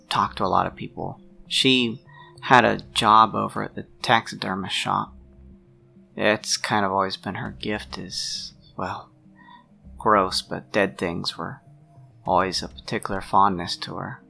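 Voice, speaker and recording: A man acts out a troubled, protective friend in a role-play drama. His voice is low and creaky with a bright edge. He picks his words slowly and earnestly, pausing mid-sentence, over faint music. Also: heavily acted. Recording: music under the voice.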